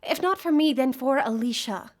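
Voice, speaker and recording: A woman's voice: high-pitched, moderate pace. A woman playing a weary, grieving, defensive widow in a radio drama. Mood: exasperated.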